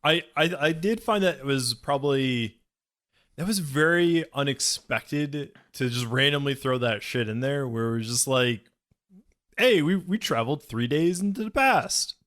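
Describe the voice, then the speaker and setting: A man co-hosting a podcast, chatting casually with a hesitant, halting delivery. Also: mid-range voice, lively intonation, fairly bright tone. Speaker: podcast co-host. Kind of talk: casual chat.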